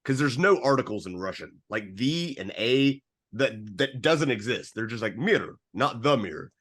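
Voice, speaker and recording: A man's voice: mid-range voice, expressive, normal speed, a bit bright. A man with a rambling, earnest way of talking, hosting a casual film discussion and often cutting into his own sentences. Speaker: film podcast host.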